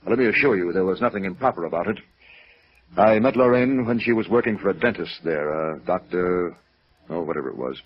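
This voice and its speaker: Wistful, formal executive, low husky voice, hesitant and evasive, vintage radio drama recording. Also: male voice, animated, brisk, dull audio. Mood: polite, guarded. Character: genteel, evasive, wistful male brokerage president. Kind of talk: 1950s radio drama acting.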